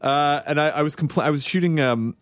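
A man hosting a podcast in casual chat, joking with quick asides and casual swearing. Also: a little high, dry recording, studio quality. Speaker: podcast host.

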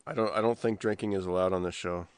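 Deep-voiced joking man. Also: steady tone, fast talker, clear, resonant tone, no echo, studio quality. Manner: joking, profane, sarcastic. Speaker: podcast co-host. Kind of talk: casual chat.

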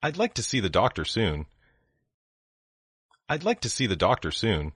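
A man reading short phrases for language learners, saying each one twice in a slow, deliberate way. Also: lowish voice, wildly swooping pitch, a bit bright, husky, dry recording, studio quality. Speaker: language lesson speaker.

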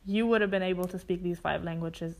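A woman's voice: on the low side, fairly even intonation, fairly quick, gravelly. A young woman from Germany chatting casually with a friend, laughing easily and restarting her sentences. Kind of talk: casual chat between friends.

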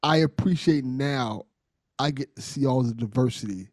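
A man's voice: mid-range voice, normal speed, darkish tone, no echo, clean studio sound. A man hosting a podcast, chatting in an informal, appreciative way with his guest. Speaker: podcast host, voice actor. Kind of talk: casual conversational interview.

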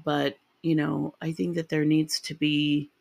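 A woman hosting a true-crime podcast, her voice raspy from a sinus infection, chatty and rambling with ums and restarts. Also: low voice, little pitch movement, measured pace, a little mellow, no echo. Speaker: podcast host.

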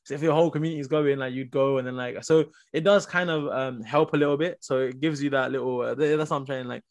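A man's voice: mid-range voice, steady tone, fast. A young man from Nepal chatting informally and slangily in a casual group podcast discussion, scattering "like" through his sentences. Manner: informal, slangy, heavy use of "like". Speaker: podcast panelist.

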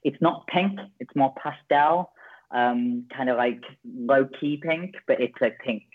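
A man's voice: mid-range voice, slow pace. A man organizing an awards night, gushing and playful as he talks with the host. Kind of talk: interview chat. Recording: tinny phone line.

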